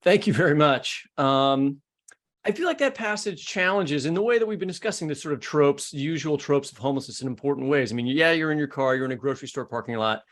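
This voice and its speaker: A man's voice: on the high side, animated, a little fast, fairly bright tone. A man with an American accent hosting an interview podcast in a conversational, discussing style. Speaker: podcast host.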